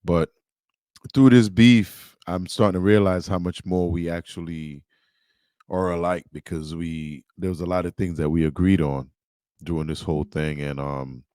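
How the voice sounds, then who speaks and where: A man's voice: low voice, fairly even intonation, moderate pace, warm tone, smooth, no echo, clean studio sound. An American man giving casual, off-the-cuff commentary for a reaction video.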